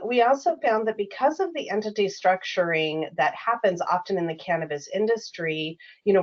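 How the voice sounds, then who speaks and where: Woman explaining software on dull webinar audio. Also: lowish voice. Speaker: software company product expert. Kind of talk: conversational product explanation.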